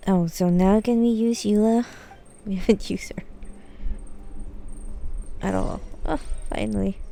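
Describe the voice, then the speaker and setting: A woman's voice: mid-range voice, fairly even intonation, measured pace, vocal fry, mellow, slight background noise. A woman giving casual live commentary as she streams a video game.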